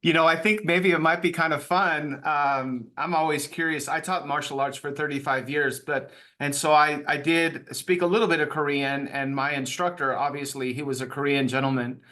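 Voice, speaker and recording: A man's voice: fairly high pitch, fairly even intonation, normal speed, hardly any pauses, bright, forceful tone. A man hosting an interview, asking long rambling questions with fillers and casual phrasing. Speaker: show host.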